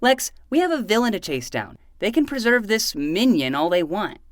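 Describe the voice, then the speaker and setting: A woman's voice: medium pitch, sing-song, normal speed, no room sound, clean studio sound, natural voice. A woman playing a brash, theatrical twelve-year-old boy detective in a fiction podcast, talking in a dramatic, mock hard-boiled way. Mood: insistent, dramatic.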